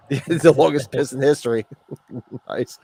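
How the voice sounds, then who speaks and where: A man's voice: medium pitch, slow pace, vocal fry, mellow, breathy edge, dry recording. A man in his forties with a sniffly voice from allergies, chatting casually, rambling and swearing as he jokes. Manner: profane, rambling, joking. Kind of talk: casual chat.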